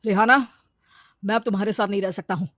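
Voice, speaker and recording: A woman voicing an arrogant, fickle husband, boastful and wheedling, in an animated story. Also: mid-range voice, fast, no room sound, studio quality, lightly acted. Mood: cold. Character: arrogant, fickle, money-proud husband, easily smitten.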